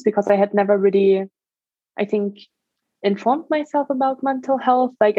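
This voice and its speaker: A woman's voice: medium pitch, a little mellow, slightly rough, no room sound, muffled recording. A woman in her thirties from Germany with a German accent, a stress management trainer talking earnestly as a podcast guest, with many fillers. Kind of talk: podcast interview.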